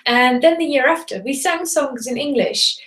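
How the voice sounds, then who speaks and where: A woman's voice: high voice, moderate pace, fairly bright tone. A woman from Germany, a native German speaker, teaching German in a chatty, enthusiastic live online class and greeting viewers from the chat. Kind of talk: live bilingual webinar.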